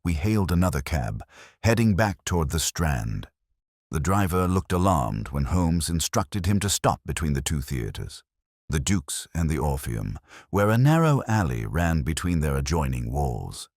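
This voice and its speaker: A man narrating a scripted mystery story in a measured, dramatic manner. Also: extremely low pitch, very animated, darkish tone, no room sound, clean studio sound. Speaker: audiobook narrator.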